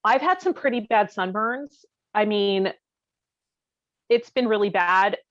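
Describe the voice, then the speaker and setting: A woman chatting casually in a candid, animated, joking way. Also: medium pitch, unhurried, dry recording. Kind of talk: casual chat. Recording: close, dry, slightly dull audio.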